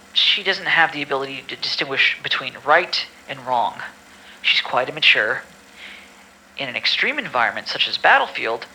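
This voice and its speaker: A man's voice: fairly high pitch, pushed and bright, slight background noise, heavily acted, poor audio. A man playing an exasperated medical staffer in an audio drama, reading patient files formally with weary, grumbling asides. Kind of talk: amateur audio drama acting.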